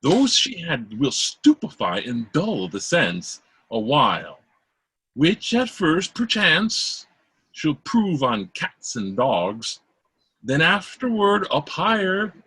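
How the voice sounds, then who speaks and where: A man playing a wary, principled court doctor in a Shakespeare read-through, speaking in a deliberate theatrical way. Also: on the high side, huge pitch swings, fairly bright tone, husky, no echo, full character voice. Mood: suspicious, confiding. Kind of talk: Shakespeare play read aloud. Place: remote video call.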